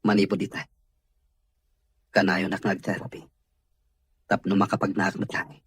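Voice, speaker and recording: Weary rich male patient, acted: rough, breath-strained husky voice, earnest radio drama delivery. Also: deep voice, monotone, normal speed, no echo. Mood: strained, determined. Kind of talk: Ilocano radio drama acting.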